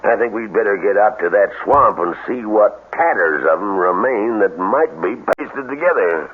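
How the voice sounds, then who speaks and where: A grave, skeptical doctor, with a very low, husky voice. He talks deliberately and clinically, pausing as he weighs things, over a tinny old radio broadcast. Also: expressive. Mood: grave, sorrowful. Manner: deliberate, clinical, hesitant pauses. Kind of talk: old-time radio drama acting.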